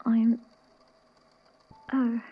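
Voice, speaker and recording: A woman playing a dazed, confused young woman in an audio drama, halting and disoriented, with a breathy, often whispery voice. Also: on the high side, little pitch movement, phone-quality sound, heavily acted. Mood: dazed, weak.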